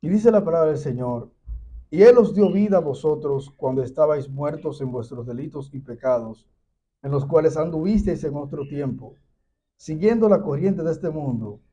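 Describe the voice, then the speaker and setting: Mellow, higher-pitched Dominican man hosting. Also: animated, normal speed. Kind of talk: scripture reading and interviewing. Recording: dull, muffled lo-fi sound.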